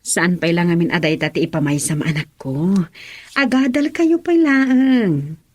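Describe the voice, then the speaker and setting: A woman's voice: lowish voice, expressive, measured pace. A woman playing a fond, practical mother in an Ilocano radio drama. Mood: gently cautioning.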